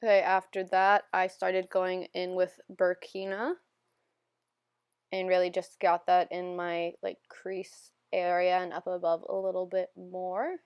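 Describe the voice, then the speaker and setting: Chatty young woman, gravelly and dry. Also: lowish voice, completely flat delivery, a little slow, dry recording, lo-fi sound. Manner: chatty, self-deprecating, rambling with fillers. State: slightly hoarse, recovering from illness. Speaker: beauty vlogger. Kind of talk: casual voiceover for a makeup tutorial.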